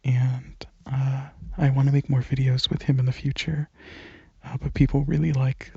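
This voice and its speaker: A man speaking softly and breathily, often near a whisper, in a casual, halting ASMR ramble into a close microphone. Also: medium pitch, flat delivery, gravelly, breathy edge, dry recording, highs cut off. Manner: hesitant, halting, informal. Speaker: ASMR channel creator.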